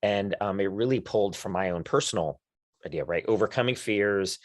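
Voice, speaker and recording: A man, a career coach for lawyers, speaking in an enthusiastic, conversational podcast interview with quick lists and restarts. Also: lowish voice, no room sound, studio quality. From United States.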